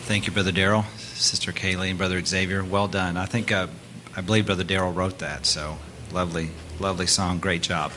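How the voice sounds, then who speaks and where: Brief male church service leader, very deep voice with a sharp edge, faint room noise. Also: little pitch movement, a little fast, hardly any pauses, a faint crowd. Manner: warmly thanks the singers and introduces the next one.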